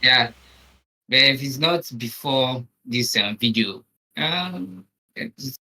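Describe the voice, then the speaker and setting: A man's voice: mid-range voice, animated, slow pace, pushed and bright. A young man in casual discussion on a video call, repeating phrases as he reasons. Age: young adult.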